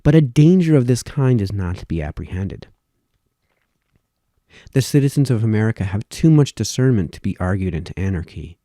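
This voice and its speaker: A man reading formal historical essays aloud, with measured, emphatic phrasing that falls away at sentence ends. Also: fairly low pitch, mellow, no room sound, studio quality.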